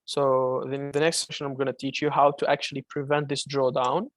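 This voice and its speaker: A man's voice: medium pitch, little pitch movement, a little fast, no room sound. A man with a Malaysian accent, answering viewers' questions about trading in a casual, chatty way full of fillers and restarts. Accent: Malaysian English. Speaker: trading mentor. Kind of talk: live-streamed trading Q&A.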